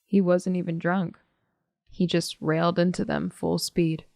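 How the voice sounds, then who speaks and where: A woman's voice: fairly low pitch, flat delivery, unhurried, vocal fry, a little mellow, no echo, studio quality. A young American woman playing a blunt, sarcastic teenage loner in a naturalistic audio drama. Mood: blunt, troubled. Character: blunt, sardonic teenage loner girl, guardedly loyal.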